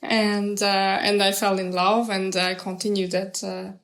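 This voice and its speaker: A woman in her thirties from Hungary, a guest in an interview, answering with many hesitant pauses. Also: mid-range voice, level tone. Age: 30s.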